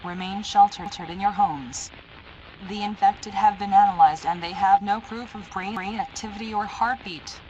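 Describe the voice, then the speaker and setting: A synthetic female voice playing a stern, impersonal emergency alert announcer, reading formal, deliberate warnings in an analog horror broadcast. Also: mid-range voice, dark tone, lo-fi sound, a little hiss or hum, natural voice. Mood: stern, urgent.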